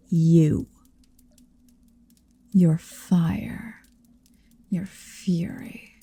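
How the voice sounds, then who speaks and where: Woman as a slow, breathy, possessive dragon. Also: fairly low pitch, mellow, no echo, heavily acted. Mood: possessive, admiring. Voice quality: breathy, often whispering. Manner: slow, languid, commanding, teasing. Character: possessive centuries-old female dragon in human shape, haughty, doting. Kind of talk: ASMR roleplay, intimate monologue.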